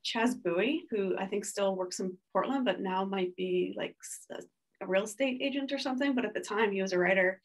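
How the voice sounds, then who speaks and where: A woman's voice: mid-range voice, brisk. A woman in her forties talking casually on a video call panel from a home studio, rambling with lots of filler words and restarts. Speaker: panelist artist. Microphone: video call webcam.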